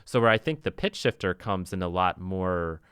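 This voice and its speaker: A man's voice: low-pitched, moderate pace, a bit bright, clean tone, no room sound. A man giving a casual, informal music production tutorial, thinking aloud as he demonstrates on a close mic. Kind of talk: casual software tutorial talk.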